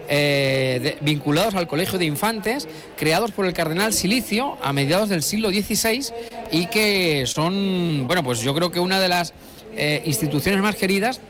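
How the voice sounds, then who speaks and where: Middle-aged male broadcast commentator, slightly high voice, discursive live delivery, outdoor street broadcast with a crowd. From La Mancha, Spain. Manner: discursive, many fillers and restarts.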